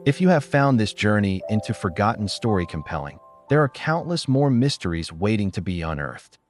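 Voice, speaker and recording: A man reading a literary story in a measured, solemn manner, as a scripted narrator. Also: fairly low pitch, very animated, music in the background.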